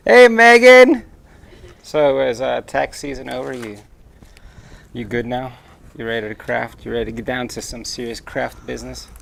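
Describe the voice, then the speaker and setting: Teasing man with a gravelly voice. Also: medium pitch, moderate pace, a bit bright. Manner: teasing, playful. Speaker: co-host of a live craft stream. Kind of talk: casual joking chat while crafting. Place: indoor craft workshop.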